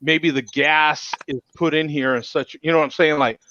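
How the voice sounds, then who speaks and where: A man's voice: fairly high pitch, steady tone, normal speed, sharp tone, no room sound, dull audio. A man hosting a casual chat show in a folksy manner, often repeating himself as he talks. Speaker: show host.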